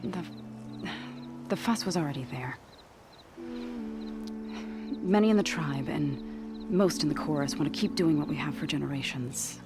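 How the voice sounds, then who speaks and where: A woman playing an earnest, reverent envoy of a farming tribe in a video game, speaking in a measured, halting, solemn way. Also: low voice. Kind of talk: dramatic video game cutscene acting. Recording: loud music behind the voice. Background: music.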